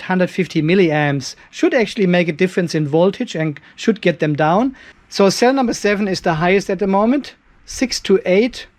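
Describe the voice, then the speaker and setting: A man's voice: high-pitched, a little mellow. Man in his fifties, garage workshop demo. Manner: explains step by step while working, thinking aloud. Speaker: channel host. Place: cluttered garage workshop with a metal roof. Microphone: handheld camera held close.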